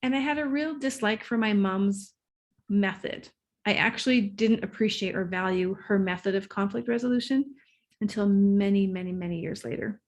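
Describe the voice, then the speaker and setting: A woman relationship coach chatting as an interview guest, her voice sitting at an ordinary middle pitch. She gets excited and laughs easily. She sometimes loses her thread mid-answer. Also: moderate pace. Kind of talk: casual interview talk.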